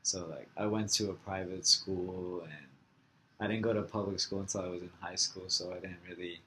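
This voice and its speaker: A young man from Florida whose first language is Farsi, answering interview questions in a relaxed, halting way with many "like" fillers. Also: low-pitched, slight background noise, low-quality recording. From Florida, USA.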